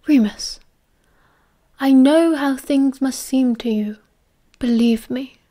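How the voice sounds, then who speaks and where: A woman voicing an old male headmaster wizard, calm and measured, speaking slowly and softly, often near a whisper. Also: high voice. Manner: slow, soft, half-whispered delivery.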